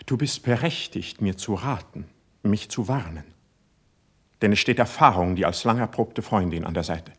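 Casting: sensitive young poet for verse radio drama; lowish, breathy voice, lofty fervent delivery. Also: male voice, expressive, normal speed. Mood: earnest, pleading. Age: young adult. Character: idealistic, impulsive young male poet, ardent and sensitive. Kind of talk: classical German verse radio drama acting.

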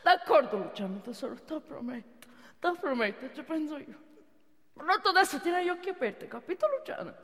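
A woman's voice: high voice, lively intonation, brisk. A woman playing an anxious, devoted female friend in a theatrical monologue, earnest, emotional and colloquial. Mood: frantic coaxing. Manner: emotional, colloquial, repetitive urging. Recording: reverberant theatre hall.

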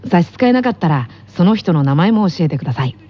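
A woman hosts a casual radio show. She speaks fast and with lively pitch in a husky voice, on a muffled recording with faint music.

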